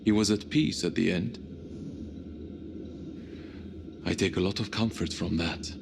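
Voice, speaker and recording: An actor playing a reflective, hopeful widowed space crewman in a cinematic game, speaking with restraint in a low gravelly voice. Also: brisk, noisy background. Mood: calm, reflective. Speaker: video game character, spacecraft crew member.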